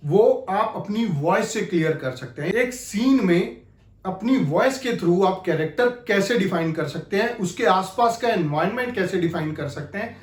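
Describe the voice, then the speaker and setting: A man's voice: high voice, lively intonation, normal speed, echoey room. A man giving an instructional talk as an acting coach, explaining emphatically. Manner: explanatory, emphatic, repeats key phrases to demonstrate.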